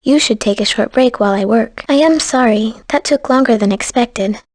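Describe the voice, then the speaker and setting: A high, earnest young woman's voice from a fantasy video game, acted by a woman. She is polite and formal, with a gentle manner. The sound is close and dry. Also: normal speed, no room sound, close to an everyday voice. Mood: polite, composed.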